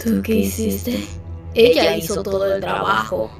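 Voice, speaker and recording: A woman voicing a cold, sarcastic female supercomputer in a Spanish fan dub of a video game, measured and vindictive. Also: mid-range voice, steady tone, creaky. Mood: bitter. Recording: music behind the voice.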